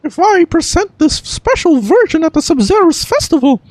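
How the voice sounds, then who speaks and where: An amateur performer voicing an excited, chirpy little cartoon-mouse girl in a squeaky, exaggerated falsetto for a game. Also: normal speed, dry recording. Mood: gleeful.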